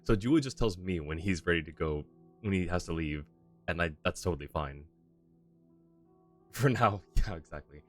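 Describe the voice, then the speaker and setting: A man's voice: low-pitched, lively intonation, a little slow, pauses often, soft background music. A young man chatting casually and self-deprecatingly while streaming a game. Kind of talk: casual live-stream chat.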